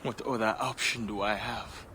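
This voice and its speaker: An actor playing a defeated, bitter fighter resigned to death in a video game. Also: male voice, lowish voice, steady tone, moderate pace, bright, forceful tone, a little hiss or hum. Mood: bitter resignation. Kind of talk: dramatic video game acting.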